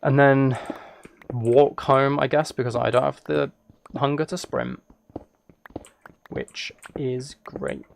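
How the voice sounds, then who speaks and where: A man's voice: medium pitch, fairly even intonation, slow pace, long pauses. Man rambling casually over keyboard clicks and noise. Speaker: live streamer. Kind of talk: casual chat with game commentary. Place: indoors at a computer. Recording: clear background noise, keyboard and mouse clicks.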